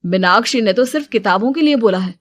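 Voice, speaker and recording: A woman's voice: mid-range voice, lively intonation, quick pace, lightly acted. A woman playing an angry young husband in a cartoon family drama, stern, urgent and scolding.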